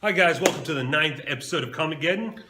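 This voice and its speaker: A man's voice: fairly high pitch, fast, sharp tone. A man hosting a geek web show, presenting in a casual, conversational style. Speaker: host of a comics web show. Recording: noisy amateur video recording.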